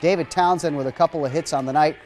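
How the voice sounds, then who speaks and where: A middle-aged man anchoring sports news in a TV studio, reading scripted stories and calling highlights. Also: fast, darkish tone, slight background noise.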